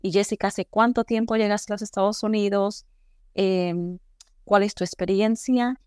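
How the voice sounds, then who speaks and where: A woman's voice: medium pitch, fairly even intonation, moderate pace, darkish tone, clear, resonant tone, dry recording, clean studio sound. A woman from Peru hosting a podcast interview, chatty and welcoming as she introduces her guest and asks questions. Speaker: podcast host.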